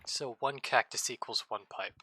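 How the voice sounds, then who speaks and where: A man's voice: medium pitch, moderate pace, bright, forceful tone, a little hiss or hum. A man in casual gaming chat, joking and rambling with playful, absurd asides. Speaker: streamer co-host.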